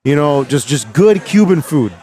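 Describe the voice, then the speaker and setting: A man's voice: a little high, big pitch swings, moderate pace, warm tone. A man from Miami, a game developer guest, talking casually with many restarts and filler words. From Miami, Florida. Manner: rambling, many restarts and "you know"s. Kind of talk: casual interview chat.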